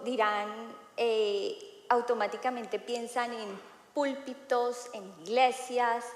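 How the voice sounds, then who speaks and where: Thirtyish woman preacher with a somewhat high voice, talking casually from the pulpit, recorded with heavy room echo. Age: 30s. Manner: conversational, frequent filler "eh" and "¿no?". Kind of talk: sermon. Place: church hall. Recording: echoey hall with long reverb.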